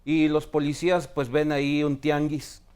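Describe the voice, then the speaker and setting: Relaxed man recounting a case. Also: a little high, moderate pace, clean tone. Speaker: guest from a human rights center. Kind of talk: storytelling on a talk show.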